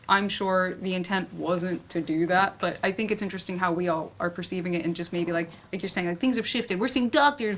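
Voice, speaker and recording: A woman's voice: mid-range voice, fairly bright tone. A woman moderating a casual panel discussion, talking in a rambling, conversational way with lots of "like". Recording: noisy room ambience.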